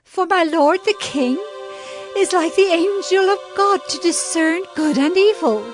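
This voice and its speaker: High-pitched pleading woman petitioner. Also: unhurried, soft background music. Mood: pleading, reverent. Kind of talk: dramatized Bible audio acting.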